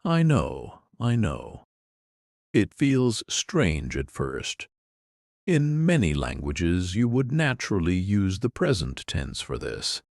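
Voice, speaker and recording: A man giving a scripted English lesson, explaining clearly and patiently in an encouraging way. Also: low-pitched, very animated, slow, no echo, studio quality. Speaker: English teacher. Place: in Europe.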